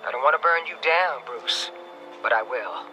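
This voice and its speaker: An actor playing a ruthless, commanding government boss in a video game, curt and authoritative. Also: male voice, mid-range voice, animated, moderate pace, soft background music, close to an everyday voice, poor audio. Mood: cold menace.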